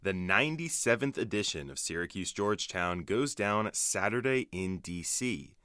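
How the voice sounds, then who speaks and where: A man's voice: deep voice, lively intonation, normal speed, sharp tone, clean tone, no room sound, clean studio sound. A man anchoring a radio sports update in a scripted broadcast delivery.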